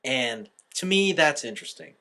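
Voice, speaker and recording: A man co-hosting a casual anime review podcast, animated and opinionated, griping in exasperation and swearing freely. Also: a little high, normal speed, creaky. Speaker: podcast host.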